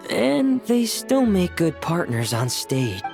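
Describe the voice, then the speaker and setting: A woman voicing a calm young woman who speaks gently and earnestly. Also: fairly low pitch, slow pace, vocal fry, exaggerated voice. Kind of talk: video game voice acting. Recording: soft game music behind.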